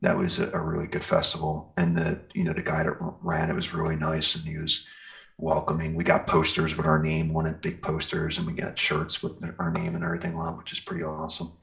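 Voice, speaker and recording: A man speaking as a musician guest in a casual interview, telling stories in a rambling, halting way. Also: rumbling low, monotone, creaky, fairly bright tone. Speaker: guest, metal band singer and guitarist. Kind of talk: casual interview chat, recounting festival gigs.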